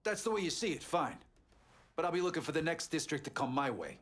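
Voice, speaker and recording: An actor playing an older, shrewd mobster in a video game, talking in a measured, patronizing way. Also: male voice, on the high side, lively intonation, a bit bright. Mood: grudging. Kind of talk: video game cutscene acting.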